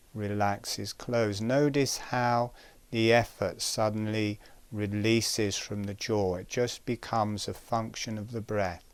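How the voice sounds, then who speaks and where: A man giving guided breathing instruction in a calm, gentle, measured way, pausing between short phrases. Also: fairly low pitch, clean tone, no room sound.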